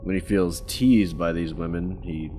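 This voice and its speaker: A man's voice: low-pitched, a little slow, noisy background. An actor playing a cynical, sarcastic male detective in an audio drama. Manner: sarcastic, crude remarks.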